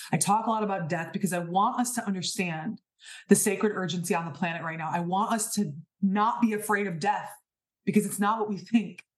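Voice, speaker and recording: A woman in her thirties speaking in a fairly low register. She gives an inspirational spiritual monologue, earnest and emphatic, and leans on repeated rhetorical questions. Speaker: podcast host.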